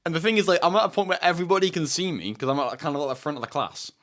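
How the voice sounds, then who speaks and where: A seventeen-year-old man telling a rambling, casual story, with a fairly deep voice, swearing often and saying "bro" and "like" a lot. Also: rapid-fire, fairly bright tone. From United Kingdom. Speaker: self-improvement YouTuber.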